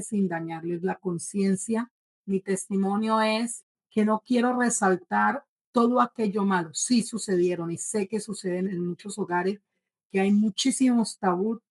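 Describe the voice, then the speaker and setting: Female, 40s, Colombian accent, mid-pitched voice. Devout, earnest guest sharing a personal testimony in measured phrases. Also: darkish tone, rough recording. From Bogotá, Colombia.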